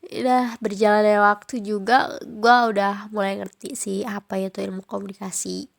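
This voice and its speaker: A woman's voice: mid-range voice, flat delivery, moderate pace, creaky. A young woman with a Jakarta slang accent, nasal from a cold, chatting casually on her own podcast with frequent fillers. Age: young adult. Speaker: podcast host.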